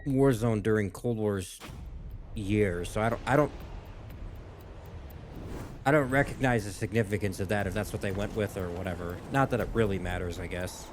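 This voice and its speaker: A man's voice: fairly low pitch, normal speed, long pauses, noisy background. A man giving casual, rambling reaction commentary as a YouTuber. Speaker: YouTuber reacting to game cutscenes.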